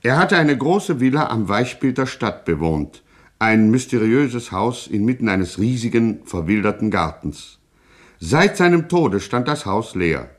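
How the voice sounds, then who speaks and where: An actor playing the storyteller of a gangster legend, narrating portentously in a mock-documentary radio play. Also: male voice, lowish voice, very animated, unhurried.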